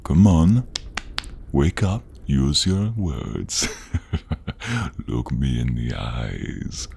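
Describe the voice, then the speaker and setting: An imperious, blood-hungry inquisitor who is not human. The voice is extremely low and gravelly, drawing words out very slowly. He savors and condescends in fantasy role-play style, over faint background ambience. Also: very animated, darkish tone, a little hiss or hum, heavily acted. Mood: probing, hungry. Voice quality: very deep, gravelly, breathy. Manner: slow, savoring, commanding, condescending. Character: menacing, blood-tasting inhuman grand inquisitor, male, hungry and imperious.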